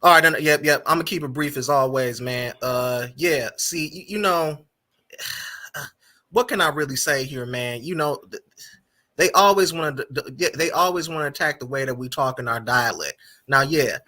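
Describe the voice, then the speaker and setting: A man's voice: on the high side, normal speed, sharp tone. A man with a Wisconsin accent chatting casually, often stammering and repeating words. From Wisconsin, United States. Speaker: panel caller. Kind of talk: casual chat.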